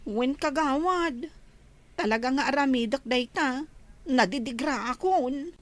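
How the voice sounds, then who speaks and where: A woman's voice: high-pitched, a little slow, no room sound. An actress playing a young Filipino village woman with an Ilocano accent, easily frightened and indignant, in an audio drama. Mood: subdued.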